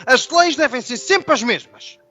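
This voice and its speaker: A man's voice: extremely high pitch, expressive, measured pace, pushed and bright, exaggerated voice. An actor playing a stubborn, old-fashioned Portuguese nobleman in a radio drama, pompous and indignant, with a European Portuguese accent. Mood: indignant, defiant. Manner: pompous, archaic, indignant declaiming. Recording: dull band-limited sound with music behind.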